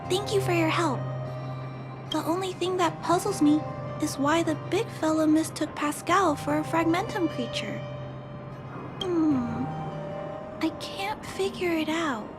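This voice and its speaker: A woman voicing a kind, polite young girl who gently thinks aloud with questions, in a video game cutscene dub. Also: extremely high pitch, unhurried, pauses often. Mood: curious. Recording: game music under the voice.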